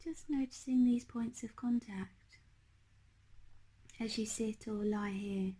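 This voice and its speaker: A woman leading a guided meditation as a teacher, speaking in a gentle, unhurried, hushed manner. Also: a little high, fairly even intonation, slightly rough.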